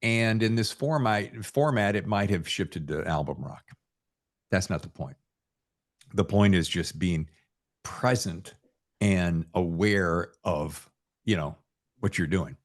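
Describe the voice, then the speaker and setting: An American man hosting a podcast, telling anecdotes in a casual, animated storytelling manner. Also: sits low, slow, long pauses. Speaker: podcast host; former radio morning show host.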